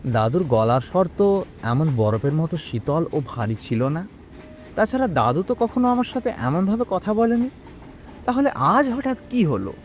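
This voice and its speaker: A man playing a young man who recounts his own eerie experience in an expressive, suspenseful audio horror drama. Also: a little high, slight background noise. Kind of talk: dramatic first-person audio horror storytelling.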